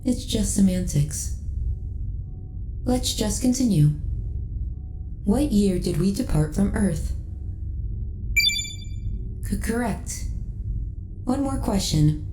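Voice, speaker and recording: A woman voicing a friendly, caring ship AI in a sci-fi ASMR roleplay, speaking in a measured, formal, precisely enunciated way. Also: mid-range voice, expressive, roomy sound, music in the background. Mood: gentle, polite.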